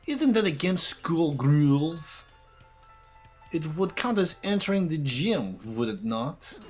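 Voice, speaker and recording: Woman acting a prim, formal young woman, low-pitched. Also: very animated, takes it slowly, darkish tone, faint music behind, heavily acted. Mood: prim, questioning. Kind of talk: amateur fandub of an anime mystery game.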